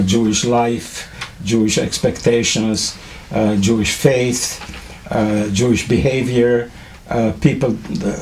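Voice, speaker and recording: An older man from Czechoslovakia whose first language is German, telling students about his early life in a halting way, with many fillers and restarts. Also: medium pitch, a little mellow, slight background noise. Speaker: Holocaust survivor speaking to students. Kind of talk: testimony to a school class.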